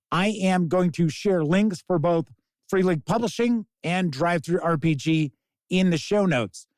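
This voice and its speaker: A man presenting a casual tabletop game review as host, speaking in deliberate, emphatic phrases. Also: high voice, a little mellow, no room sound. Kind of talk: casual scripted-outline product review to camera.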